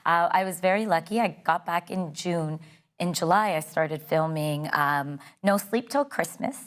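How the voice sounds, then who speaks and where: A woman's voice: fairly low pitch, measured pace. A woman in her thirties from Canada, an actress chatting casually as an interview guest, upbeat and laughing often with many fillers.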